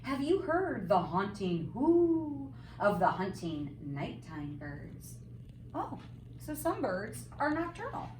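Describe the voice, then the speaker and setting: A woman reading a picture book aloud to young children like a teacher, with expressive, sing-song, emphatic phrasing and simple explanatory asides. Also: medium pitch, takes it slowly. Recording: roomy, distant mic, faint background noise.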